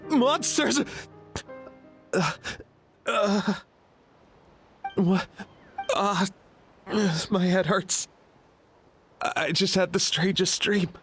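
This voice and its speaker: An actor playing a devoted, combative young warrior in a video game, with a strained, dramatic delivery. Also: male voice, high voice, extremely slow, pauses often, fairly bright tone, soft background music, exaggerated voice. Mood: dazed, confused.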